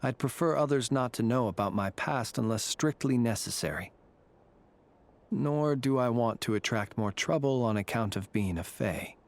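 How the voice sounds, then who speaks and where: An actor playing a calm, ancient, world-weary fae warrior in a video game, with measured, formal, reflective phrasing. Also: male voice, medium pitch, close to an everyday voice. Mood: calm, reassuring.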